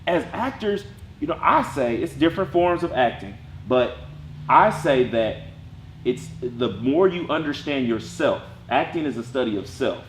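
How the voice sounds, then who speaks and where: A man teaching an acting class, talking casually in a lively way with a strong voice and acting out bits of his stories. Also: medium pitch, normal speed, echoey room, a little hiss or hum, rough recording.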